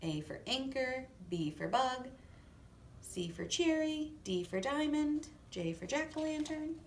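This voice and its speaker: A woman narrates for children in a deliberate, expressive read-aloud style. Her voice is fairly high and very animated. She sounds a bit far from the mic, with faint noise. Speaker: storybook reader. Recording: echoey room, distant mic, faint noise.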